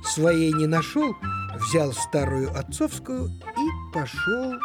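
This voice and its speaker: A woman narrating a children's story in an expressive, dramatic storytelling manner. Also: low voice, takes it slowly. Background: music.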